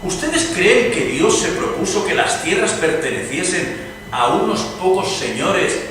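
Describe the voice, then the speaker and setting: A man acting a liberal Spanish politician of the early nineteenth century, deliberate and declamatory, in a dramatized reading. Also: sits high, expressive, a bit bright. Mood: ironic, defiant. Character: liberal Spanish politician of 1815, weary and ironic. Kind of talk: theatrical radio drama dialogue. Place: live reading in an echoing venue. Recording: distant, echoey hall with clear noise.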